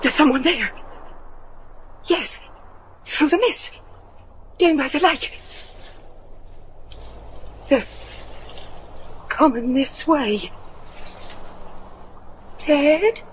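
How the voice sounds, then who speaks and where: An actress playing a frightened working-class wife in a gothic horror radio drama, breathless, pleading and tremulous. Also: female voice, high-pitched, lively intonation, very slow, long pauses, a little hiss or hum, heavily acted. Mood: fearful, tearful. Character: terrified working-class wife searching for her husband.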